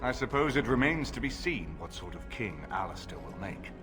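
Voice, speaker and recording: An actor playing a dignified, thoughtful older nobleman, measured and earnest. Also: on the low side, big pitch swings, music in the background. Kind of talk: fantasy game dialogue.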